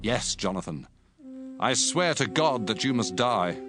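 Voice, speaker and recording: A man's voice: lowish voice, animated, a little slow, over music. A man playing a commanding, devout ancient king in a dramatized audio Bible. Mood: stern. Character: King Saul, commanding, stern, devout Israelite king.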